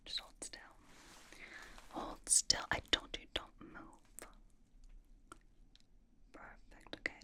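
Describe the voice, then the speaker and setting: A woman playing a bossy, playful young female pop idol in a whispered ASMR roleplay, giving slow, mock-stern instructions in a breathy whisper. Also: medium pitch, lightly acted. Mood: gentle, attentive.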